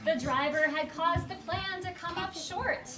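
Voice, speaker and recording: A woman's voice: very high voice, fairly even intonation, a little slow, music in the background. A woman narrating a comic rhyming story for a puppet show, in storybook style. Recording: echoey room, distant mic, background noise.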